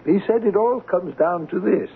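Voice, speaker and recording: An actor in a 1970s radio drama playing a gentle, learned older man, a philosopher with a gravelly voice and measured manner. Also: sits high. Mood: serene, wise. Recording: muffled, noisy old radio broadcast recording.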